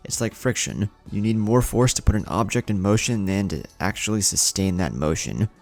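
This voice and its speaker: A man voicing a confident young male ninja war leader in a fan-fiction story, explaining in a measured, assured way over music. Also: fairly low pitch, creaky, a little mellow. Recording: music behind the voice.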